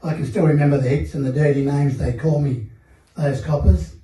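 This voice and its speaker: Older Australian man, dark warm tone, slightly raised pitch, distant mic in an echoey hall; measured panel testimony. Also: fairly even intonation. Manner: measured, reflective, many fillers. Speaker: panel guest. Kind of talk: personal testimony at a seminar panel. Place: seminar hall.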